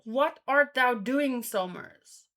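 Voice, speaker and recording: A woman hosting a read-along in a casual live commentary style, with expressive, exclamatory reactions. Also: high-pitched, slow. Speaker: host of a manga read-along stream.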